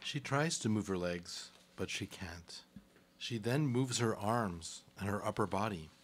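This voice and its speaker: A man's voice: on the low side, lively intonation, a little slow, slight background noise. A man narrating scripted stage directions for a live radio play.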